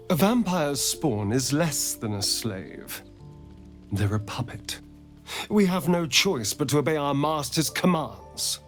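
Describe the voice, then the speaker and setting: An actor playing a haunted, sardonic centuries-old vampire spawn in a fantasy game, speaking with bitter wit and dramatic pauses. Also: male voice, medium pitch. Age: centuries-old undead. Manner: theatrical, wry, bitter, deliberate with dramatic pauses.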